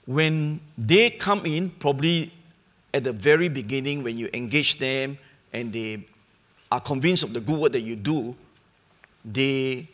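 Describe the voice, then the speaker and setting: A middle-aged man, a charity leader speaking on a conference panel, in an earnest, measured way with pauses and restarts, on a lapel mic. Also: medium pitch, a bit bright. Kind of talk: panel discussion. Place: conference hall stage.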